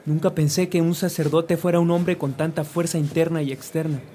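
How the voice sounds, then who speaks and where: A man's voice: on the high side, level tone, brisk, warm tone, a little hiss or hum, close to an everyday voice. A man playing an earnest, reflective young man of faith in a radio drama. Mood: eager, earnest. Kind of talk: Spanish-language radio drama acting.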